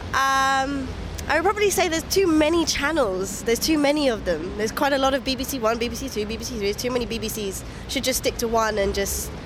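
A woman's voice: sits high, fairly quick, noisy background. A woman from the public answering questions in a street interview, casual and a little hesitant. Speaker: member of the public. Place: outdoors on a street.

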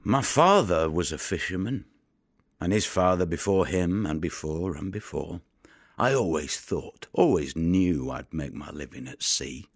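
A man's voice: low-pitched, animated, measured pace, no echo, clean studio sound, full character voice. A man acting a weary, bitter Italian fisherman in an audio drama monologue, with a wry, brooding, coarse-tongued manner. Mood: sombre. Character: weary, bitter male Italian fisherman turned body recoverer.

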